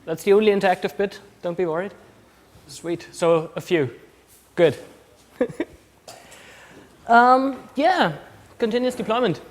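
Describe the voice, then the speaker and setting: A man's voice: high-pitched, measured pace, pauses often. Austrian man with a Kiwi-tinged accent. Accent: mixed Austrian German and New Zealand. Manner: casual, many ums and restarts. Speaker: conference speaker. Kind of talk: conference talk. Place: conference room.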